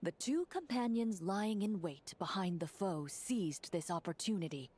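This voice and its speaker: A woman voicing a composed, analytical game master, narrating a fantasy battle in a measured, precise, formal way. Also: medium pitch, animated, dark tone, husky. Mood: storytelling. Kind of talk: scripted narration in a video game.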